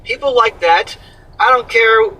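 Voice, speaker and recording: A man's voice: extremely high pitch, unhurried, sharp tone, recorded from a distance, low-quality recording. A man co-hosting a casual reaction commentary, blunt and profane, scolding in plain terms. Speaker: commentary co-host reading an article.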